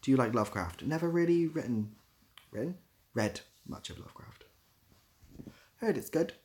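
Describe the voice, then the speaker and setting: A man's voice: medium pitch, sing-song, moderate pace, darkish tone. A man hosting a casual livestream, answering viewer comments in an informal, rambling way and searching for his words. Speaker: ASMR creator hosting a livestream; theatre assistant producer.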